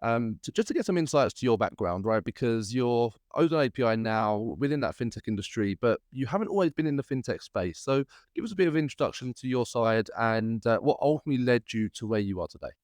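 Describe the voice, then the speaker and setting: A man's voice: medium pitch, lively intonation, a little fast, few pauses, a little mellow, dry recording, clean studio sound. A man hosting a podcast interview, upbeat and chatty, with frequent fillers and restarts. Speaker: podcast host. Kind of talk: casual interview chat.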